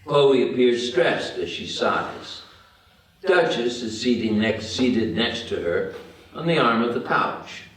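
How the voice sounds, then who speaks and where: Male table-read narrator, unhurried delivery, scripted stage directions, distant mic, very reverberant room. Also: mid-range voice, sing-song. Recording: very echoey room, far from mic.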